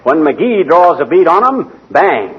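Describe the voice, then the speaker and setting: A man playing a boastful, folksy, excitable husband in a 1940s radio comedy. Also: on the high side, a little slow. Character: boastful, blustering husband, a folksy tall-tale teller. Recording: noisy, dull 1940s radio recording with clicks.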